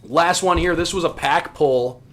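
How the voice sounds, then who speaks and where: A man's voice: fairly high pitch, measured pace, fairly bright tone. An American man in his thirties chatting casually and enthusiastically as a podcast guest about his hobby. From US Midwest. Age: 30s. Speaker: podcast guest, sports radio play-by-play announcer.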